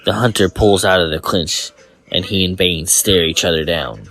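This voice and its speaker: Low-voiced man narrating a story. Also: moderate pace, a bit bright, no echo. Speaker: narrator and writer of fan fiction.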